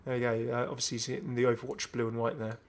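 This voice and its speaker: A man's voice: medium pitch, monotone, rapid-fire. A man hosting a gaming video, commenting casually and enthusiastically as he thinks aloud. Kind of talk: casual game update commentary.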